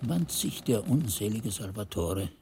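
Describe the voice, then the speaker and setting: An actor playing a grave monk chronicler, narrating a German radio drama in a measured, literary and suspenseful way. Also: male voice, lowish voice, sing-song, warm tone, slight background noise. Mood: tense. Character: grave monk chronicler recalling dark past events.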